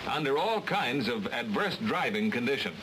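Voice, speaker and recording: An American man reading scripted mid-century training film narration in a measured, instructional, clearly enunciated way on an old, noisy soundtrack. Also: medium pitch, sing-song. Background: loud hiss.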